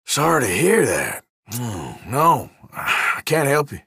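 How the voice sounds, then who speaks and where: An actor playing an irritable, wary Old West outlaw in a video game, with a rural American drawl and a folksy, sardonic, defensive manner. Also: male voice, medium pitch, huge pitch swings, slow, vocal fry, sharp tone, breathy edge, no echo, studio quality, full character voice. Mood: guarded, evasive. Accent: American, rural Western drawl. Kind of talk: game voice lines, short barks.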